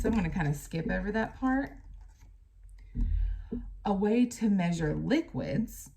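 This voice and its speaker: A woman's voice: deep voice, dark tone, slight background noise. An American woman reads a lesson aloud as a teacher, adding plain explanatory asides addressed directly to children. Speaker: teacher reading a book aloud to pupils.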